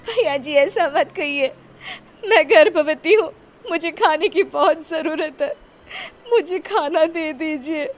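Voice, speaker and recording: A woman voicing a gentle, pitiful pregnant widow in a Hindi cartoon, speaking in a plaintive, pleading way. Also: sits high, slow, pitched above the performer's own voice.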